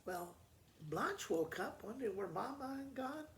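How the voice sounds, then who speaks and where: Creaky-voiced man storytelling, roomy echo. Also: very high voice, expressive, measured pace. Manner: simple, conversational retelling addressed to children. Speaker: school principal reading to pupils. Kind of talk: informal children's storytelling with picture commentary. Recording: roomy echo, faint background noise.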